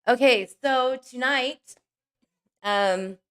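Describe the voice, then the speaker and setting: A woman hosting a livestream with a scratchy, hoarse voice from a cold, chatting casually and greeting each viewer by name. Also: on the high side, extremely slow, fairly bright tone. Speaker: livestream host.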